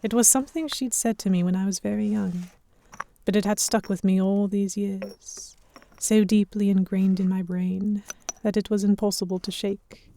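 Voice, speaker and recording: A young, observant heroine played by a woman tells a pirate tale in the first person. Her voice is mellow and medium in pitch. The delivery is measured, literary scripted narration for an audio drama. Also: fairly even intonation, slight background noise, natural voice. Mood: reflective. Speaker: narrator and lead actor.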